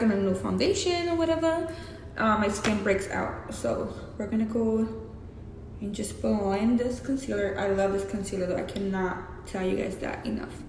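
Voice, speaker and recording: Young mexican-accented woman chatting through her makeup, swears a lot, kinda high voice, echoey room with hiss. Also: a little mellow. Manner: casual and profane, chatty asides. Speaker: beauty vlogger. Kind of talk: makeup tutorial.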